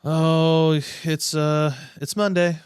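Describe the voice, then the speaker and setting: A man's voice: a little high, little pitch movement, takes it slowly, clean tone, no echo, clean studio sound. An American man co-hosting a podcast in casual, slangy banter. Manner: informal, slangy. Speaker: podcast co-host. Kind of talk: casual banter between friends.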